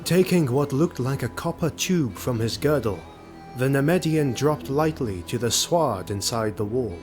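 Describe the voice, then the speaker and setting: A man narrating a fantasy audio drama in a measured, suspenseful scripted style. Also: medium pitch, expressive. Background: music.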